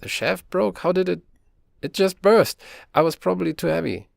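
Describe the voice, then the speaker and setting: A man doing a terrified teenage girl, pitched high. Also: moderate pace, creaky, dry recording, studio quality. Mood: panicked. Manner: high, strained, fearful pleading. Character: frightened teenage girl trapped in a shaft.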